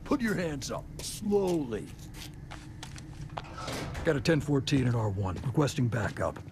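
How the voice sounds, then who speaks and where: An actor playing a nervous, hesitant security guard in a video game. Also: male voice, mid-range voice, big pitch swings, unhurried, heavy background noise. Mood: tense warning. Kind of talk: cinematic video game acting.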